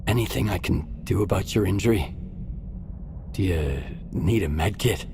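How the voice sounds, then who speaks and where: An actor playing a stoic, loyal mercenary in a video game, terse and earnest. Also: male voice, low-pitched, moderate pace. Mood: concerned, questioning. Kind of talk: video game cutscene acting. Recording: long reverb, clearly noisy background.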